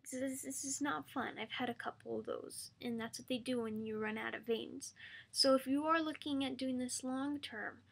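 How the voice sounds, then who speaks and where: Hoarse woman, soft and halting. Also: fairly high pitch. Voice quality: creaky, hoarse. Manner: soft-spoken, halting with frequent ums. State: losing her voice. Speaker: patient sharing her experience. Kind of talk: casual video answer to a viewer.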